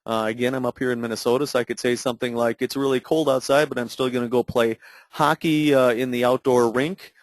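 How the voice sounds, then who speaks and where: A man narrating an unscripted software tutorial in a halting, explanatory way with many fillers. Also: medium pitch, fairly even intonation, no room sound. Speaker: product demonstrator.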